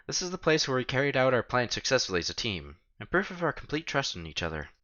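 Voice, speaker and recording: Male game streamer, bright forceful voice, animated dramatic read-aloud with casual asides, slightly muffled recording. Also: mid-range voice, quick pace. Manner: animated, acts out protagonist's lines. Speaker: streamer reading a visual novel aloud. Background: soft game music.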